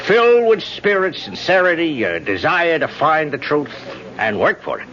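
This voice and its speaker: An old, cynical politician who sounds folksy and chuckles often. His voice sits fairly high and swings widely in pitch. The broadcast sound is dull and vintage. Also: very animated, moderate pace, a bit bright. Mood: wistful. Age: older. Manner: folksy, chuckling, world-weary. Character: aging male congressman, charming, cynical, self-mocking. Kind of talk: 1970s radio drama acting.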